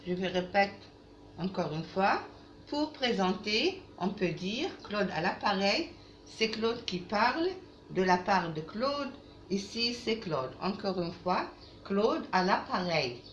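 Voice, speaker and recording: Woman, language teacher, didactic, repetitive, slow delivery, lively intonation, dull roomy recording with faint noise. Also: mid-range voice. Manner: didactic, repeats phrases, switches into halting English. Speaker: French teacher. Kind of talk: language lesson for children, with repetition.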